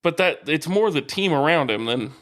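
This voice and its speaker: A man's voice: on the high side, expressive, moderate pace, fairly bright tone. A man co-hosting a sports podcast, trading opinions casually and matter-of-factly with a friend.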